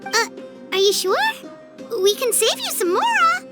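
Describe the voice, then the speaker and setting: Super high squeaky little game fairy, cheerful n bouncy, slow-ish lines, music behind. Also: female voice, big pitch swings, heavily acted. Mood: concerned. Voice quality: squeaky, childlike. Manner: refers to self in the third person, eager. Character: small chirpy childlike fairy guide, cheerful and caring. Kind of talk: video game character acting.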